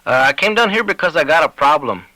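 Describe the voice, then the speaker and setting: A man's voice: on the high side, expressive, fast, pushed and bright, no echo, pitched above the performer's own voice, poor audio. A man voicing a weary small-town sheriff in an audiobook, folksy and uncertain. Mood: worried.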